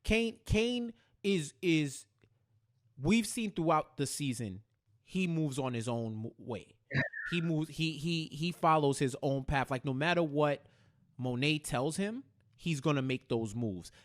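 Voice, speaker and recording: An American man co-hosting a casual, animated TV recap, talking in slangy, repetitive phrases and acting things out. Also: fairly high pitch, a little slow. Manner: acts out characters' lines in slangy speech, repeating phrases for emphasis. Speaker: podcast co-host.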